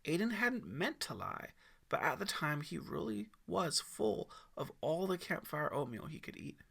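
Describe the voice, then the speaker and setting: A man reads a story expressively, as an audiobook narrator would. His voice is bright and forceful, a bit high for a man, with a gravelly creak. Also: sing-song, unhurried.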